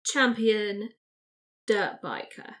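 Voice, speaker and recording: A woman's voice: mid-range voice, extremely slow. A woman, chatting casually as a player in a tabletop role-playing game. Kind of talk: casual chat.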